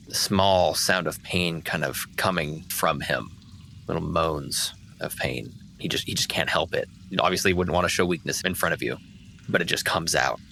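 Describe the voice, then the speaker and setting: A man's voice: deep voice, vocal fry, pushed and bright, slight background noise. A man running a tabletop roleplaying game as game master, switching between casual table talk and narration.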